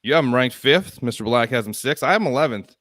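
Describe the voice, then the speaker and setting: A young man co-hosts a casual live sports stream, moving the talk along briskly and ribbing his partner. His voice sits at an everyday middle pitch and sounds close and dry, with no room around it. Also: expressive, a bit bright, no room sound. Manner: upbeat, brisk show-running, teasing. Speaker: co-host. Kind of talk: casual fantasy football chat.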